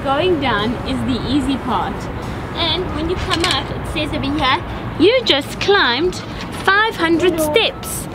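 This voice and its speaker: A woman's voice: high-pitched, animated, slow, loud music behind the voice. A woman in a cable car, chatting and pointing out the view. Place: in a cable car above a stadium.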